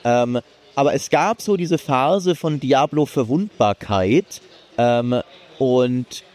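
A man's voice: medium pitch, takes it slowly, darkish tone. A man co-hosting a casual gaming livestream, talking with many fillers and restarts. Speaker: stream co-host.

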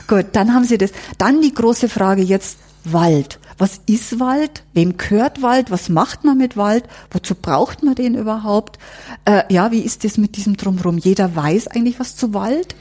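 A woman's voice: mid-range voice, a little slow, dark tone. A woman, speaking as a curator in a conversational interview and explaining with rhetorical questions. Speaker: exhibition curator and historian. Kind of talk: conversational interview, guiding through an exhibition.

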